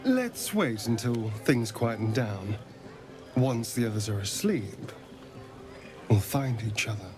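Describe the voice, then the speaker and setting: A man's voice: lowish voice, expressive, takes it slowly, pauses often. A man playing a flirtatious, hedonistic rogue in a video game, theatrical and teasing, with a drawl. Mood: seductive, teasing. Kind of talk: video game character dialogue. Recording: music behind the voice.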